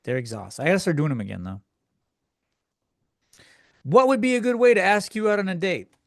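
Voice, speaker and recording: A man's voice: high-pitched, lively intonation, fairly quick, creaky, no echo, studio quality. A man hosting a comedy podcast, ranting casually in a crude, self-deprecating, rambling conversational way. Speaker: podcast host. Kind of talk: casual comedic solo rant, answering listener questions.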